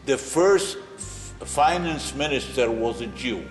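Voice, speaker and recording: An older man from Iraq fondly reminiscing in a documentary interview, speaking slowly. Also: mid-range voice, expressive. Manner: slow, fond reminiscing. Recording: reverberant hall with music.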